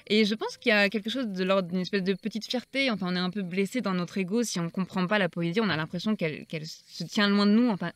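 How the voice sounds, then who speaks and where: A woman's voice: mid-range voice, dry recording. A woman hosting a poetry channel, talking reflectively and searching for her words as she goes. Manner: thoughtful, searching for words, soft-spoken.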